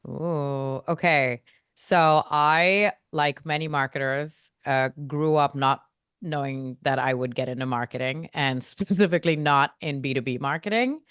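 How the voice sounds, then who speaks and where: Low, smooth-voiced woman from India. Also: unhurried, dry recording, studio quality. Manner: lively, self-mocking, quotes people in voices. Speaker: guest, marketing agency co-founder. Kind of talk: casual storytelling interview.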